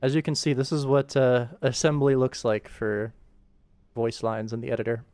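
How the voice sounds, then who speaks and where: A man's voice: medium pitch, level tone, normal speed, darkish tone, clear, resonant tone, no echo. A man giving casual livestream commentary, informally thinking aloud as he works on an animation production. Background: music.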